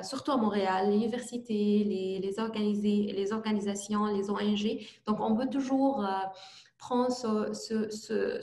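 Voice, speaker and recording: A young woman presenting her project in halting speech with many fillers and restarts, speaking as an over a video call. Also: medium pitch, reverberant. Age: young adult. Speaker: youth forum participant presenting her project. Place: home, remote online event.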